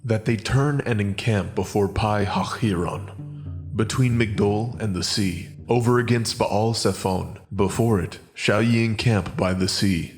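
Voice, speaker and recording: A low, commanding male voice of God , acted by a man for a dramatized Bible reading. He declares each phrase slowly and solemnly, as a deity giving orders. Soft music plays beneath him.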